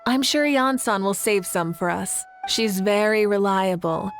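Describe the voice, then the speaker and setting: A woman's voice: mid-range voice, unhurried, soft background music, natural voice. An actress playing a confident, kindly female leader in a video game, with an assured, reassuring manner.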